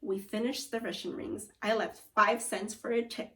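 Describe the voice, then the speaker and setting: A woman teacher reading a children's story aloud and guiding pupils, expressive and instructive with deliberate phrasing. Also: medium pitch, distant mic. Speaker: second grade teacher.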